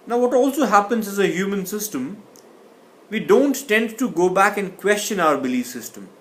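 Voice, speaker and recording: A man's voice: sits high, expressive, moderate pace. Man giving a thoughtful lecture. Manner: thoughtful, with frequent restarts and repeated words. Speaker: communication teacher. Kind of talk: explanatory lecture.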